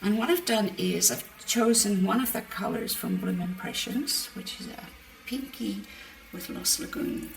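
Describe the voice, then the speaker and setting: A woman hosting a card-making demonstration, chatting through each step with a croaky voice. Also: mid-range voice, unhurried, few pauses. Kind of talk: casual craft demonstration. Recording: roomy, mic at a distance, faint background noise. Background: birds outside.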